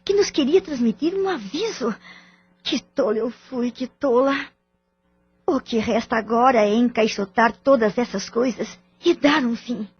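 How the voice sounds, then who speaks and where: A woman playing a composed, firm middle-aged mother in an audio drama, speaking in a deliberate, theatrical way. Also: a little high, breathy edge. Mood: disappointed, musing. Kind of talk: Portuguese-language audio drama acting. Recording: muffled, lo-fi audio drama track.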